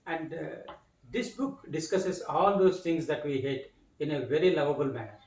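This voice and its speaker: A man hosting an interview, introducing his guest and asking questions in measured, slightly formal phrasing. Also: fairly high pitch, darkish tone, far from the mic. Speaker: interview host. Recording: roomy, mic set back.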